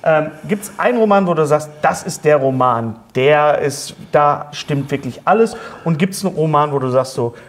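A man's voice: fairly high pitch, normal speed, few pauses. A middle-aged man speaking as a talk-show host, chatting and joking casually as he puts questions to a guest. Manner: chatty, jokey, animated gestures. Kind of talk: casual interview chat. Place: small dark studio set, seated at a table.

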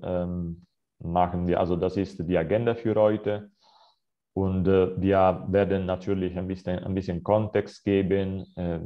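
A man in his thirties with an Italian accent presenting a webinar in German on a video call, hesitant with many filler sounds. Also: deep voice. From Italy. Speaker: account manager presenting a webinar. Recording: slightly dull video-call audio.

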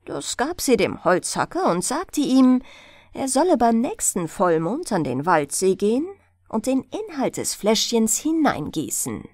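Slow, highly expressive woman storyteller. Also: dry recording, studio quality. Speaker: audiobook narrator. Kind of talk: bedtime fairy tale reading.

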